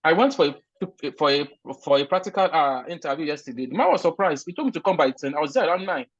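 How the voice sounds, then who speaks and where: A man's voice: a little high, lively intonation, fast, no echo. Earnest Nigerian man, dull lo-fi sound. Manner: earnest, emphatic, frequent restarts. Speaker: interview guest, recent immigrant. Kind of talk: conversational interview answers.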